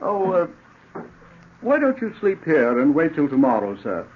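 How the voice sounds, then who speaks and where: An actor playing a tired, courteous old scholar, hesitant and doddering, in 1940s radio-drama style. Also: male voice, fairly high pitch, huge pitch swings, a little slow, slight background noise. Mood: weary, kindly. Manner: doddering, fussy, hesitant, repeating himself. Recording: muffled old radio recording.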